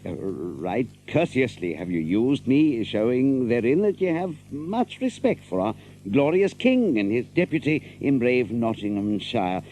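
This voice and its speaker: An actor playing a pompous, cowardly sheriff in an audio adventure drama, stammering through flowery archaic English. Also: male voice, medium pitch, big pitch swings, measured pace, warm tone, slight background noise, poor audio. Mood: nervous politeness. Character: flustered, cowardly, blustering sheriff of Nottingham.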